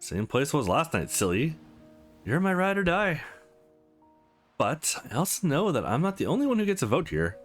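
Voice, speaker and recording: A man's voice: fairly high pitch, huge pitch swings, normal speed, long pauses, voice pushed up. A man voicing a blunt, affectionate young woman who teases her brother in a visual novel. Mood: affectionate, earnest. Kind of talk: visual novel character read aloud. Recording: music behind the voice.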